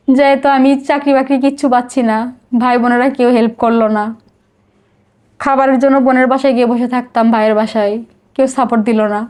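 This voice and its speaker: A woman's voice: high voice, darkish tone, smooth. A middle-aged Bangladeshi woman telling her life story in a confessional interview, emotional and outpouring, often repeating herself.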